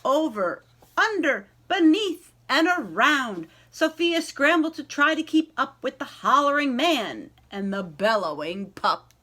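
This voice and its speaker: A woman reading a children's picture book aloud in an expressive storytelling style, addressing young listeners directly. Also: sits high. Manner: animated, pausing to ask young listeners questions.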